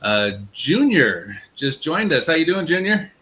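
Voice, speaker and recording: A man co-hosting a casual live chat as a business mentor, speaking in a halting, affirming way over some background noise. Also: fairly high pitch, big pitch swings, pushed and bright.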